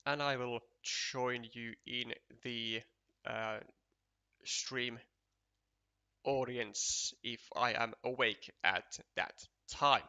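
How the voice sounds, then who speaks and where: A man with a Finnish accent giving casual, unhurried commentary on a board game match for a live stream, chatty and full of fillers. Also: mid-range voice, fairly even intonation, bright, forceful tone, clean tone.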